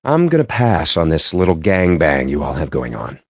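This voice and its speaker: A man's voice: extremely low pitch, big pitch swings, moderate pace, no room sound, studio quality, heavily acted. A man voicing a sardonic, wordy, nerdy gamer as a video game character, with wry, pompous delivery and dramatic emphasis. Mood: grim, sardonic. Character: sardonic, verbose, nerdy male gamer at a poker table.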